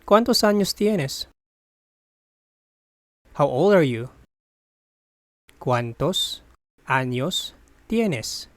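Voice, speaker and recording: Language drill reader, very slow and deliberate, repeats each phrase in parts, mid pitch, dry close recording. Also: expressive, no room sound. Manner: says each phrase, gives its translation, then repeats it slowly in parts. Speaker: language teacher.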